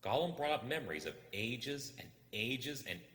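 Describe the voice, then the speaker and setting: Male book narrator, expressive, bright forceful tone, very animated pitch, long echoing reverb. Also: moderate pace. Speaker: storyteller reading a book aloud.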